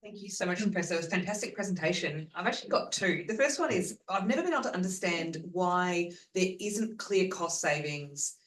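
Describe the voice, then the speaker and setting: Echoey off-mic sound, higher-than-average male voice, polite audience questioner, conversational. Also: lively intonation, a little fast, hardly any pauses, fairly bright tone, recorded from a distance. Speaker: audience member asking questions. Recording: echoey room, off-mic pickup.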